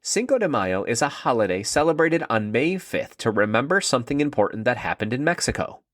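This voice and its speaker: A man's voice: medium pitch, sing-song, a little fast, no room sound, studio quality, close to an everyday voice. A man voicing an eager, proud young schoolboy in a children's story, earnest and enthusiastic.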